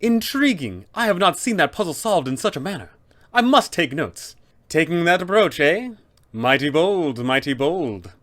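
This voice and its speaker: High, officious undead custodian. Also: male voice, very animated, normal speed, a bit bright. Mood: fascinated, fussy. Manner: formal, fussy announcer phrasing. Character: officious, polite male undead tomb custodian, eager and fussy.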